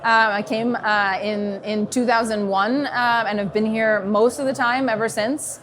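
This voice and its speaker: A woman's voice: a little high, steady tone, unhurried, crowd noise. A woman from New York with an American accent, talking fluently as an interview guest with plenty of "you know" fillers. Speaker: strategy consultant, guest. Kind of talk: conversational interview. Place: busy event venue in a container.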